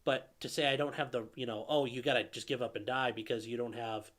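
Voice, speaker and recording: A man with a middle-pitched, slightly nasal yet smooth voice talks through viewer questions on a live stream. He speaks casually, with plenty of fillers and restarts. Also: steady tone, a little fast, fairly bright tone. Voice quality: calls his own voice nasally; a viewer calls it velvety. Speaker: lawyer host answering live chat.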